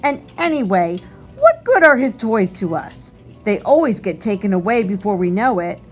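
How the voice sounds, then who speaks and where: A woman's voice: medium pitch, sing-song, normal speed, faint music behind. A woman voicing a skeptical, rude young boy from a storybook. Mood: dismissive, rude.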